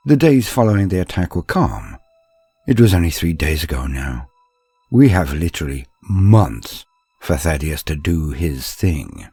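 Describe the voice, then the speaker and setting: Deep, husky male storyteller, dramatically expressive. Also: no echo, faint music behind. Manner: measured, dramatic, pausing mid-sentence. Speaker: storyteller, narrator. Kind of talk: scripted first-person dramatic story narration.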